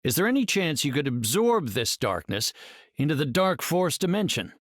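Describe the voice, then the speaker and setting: A man's voice: a little high, very animated, moderate pace, a bit bright, no echo, studio quality. A man playing an earnest, brainy scientist superhero in a video game, speaking in a composed, formal, articulate way. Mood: calm, reflective. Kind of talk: video game hero voice lines.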